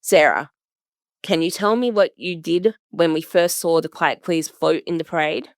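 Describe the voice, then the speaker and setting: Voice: medium pitch, normal speed, no room sound, studio quality. An adult narrator, reading a scripted first-person audio documentary. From Australia.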